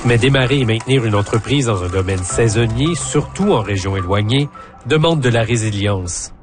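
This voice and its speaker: A man's voice: medium pitch, animated, moderate pace, music in the background. A man narrating a scripted news report as a reporter.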